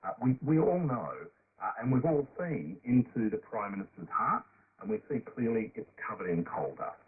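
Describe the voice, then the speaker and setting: A man's voice: mid-range voice, big pitch swings, normal speed, slightly rough. A man making emphatic statements in an interview as an industry chief executive. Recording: noisy narrowband shortwave radio.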